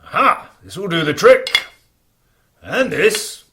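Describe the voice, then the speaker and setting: A man's voice: sits high, big pitch swings, slow pace, background noise, heavily acted. A man playing a grumpy, selfish, blustering giant in a theatrical children's story. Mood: indignant, gruff. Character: grumbling, selfish old male giant, loud and bullying.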